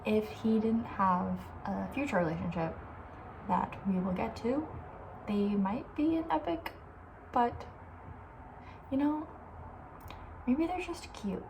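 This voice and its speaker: A woman talking softly and breathily in a casual, opinionated ASMR ramble, often slipping toward a whisper. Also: on the high side, slow, long pauses, slight background noise, poor audio. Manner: chatty, informal, opinionated, trailing off. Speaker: ASMR video host. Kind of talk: soft-spoken ASMR, casual rambling chat.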